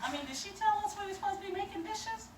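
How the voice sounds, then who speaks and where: A woman's voice: extremely high pitch, rapid-fire. A woman performing a playful home puppet show in a giggly, improvised way. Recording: echoey room, distant mic, loud noise.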